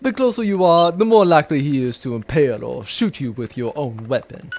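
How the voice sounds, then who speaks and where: A man's voice: fairly high pitch, fairly quick, a little mellow. A man playing a scheming villain baron in an audio adventure, condescending, menacing and mockingly polite. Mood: stern, suspicious. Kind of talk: audio adventure drama acting.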